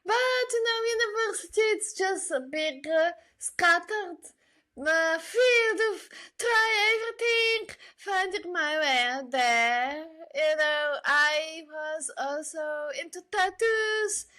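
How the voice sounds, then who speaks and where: Very high-pitched woman, slow and chatty. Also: pushed and bright. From Bulgaria. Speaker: podcast guest, illustrator and digital artist. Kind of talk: casual interview chat.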